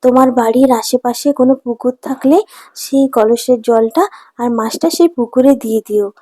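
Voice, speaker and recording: A kindly cartoon water fairy, acted by a woman, speaks in a soft, mellow tone. She is solemn and reassuring, like one who grants blessings. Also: on the high side, fairly even intonation, moderate pace, no room sound, clean studio sound, close to an everyday voice. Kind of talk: cartoon voice acting.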